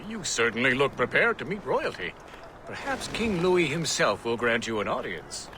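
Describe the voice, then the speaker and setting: An actor playing an elderly, genial gentleman scientist in a period video game, eloquent and theatrical. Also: medium pitch, very animated, moderate pace, background noise, close to an everyday voice. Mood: jovial.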